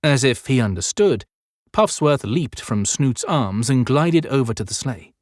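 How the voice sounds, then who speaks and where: A man's voice: mid-range voice, lively intonation, unhurried, dry recording, studio quality. A man narrating scripted fiction as an audiobook storyteller.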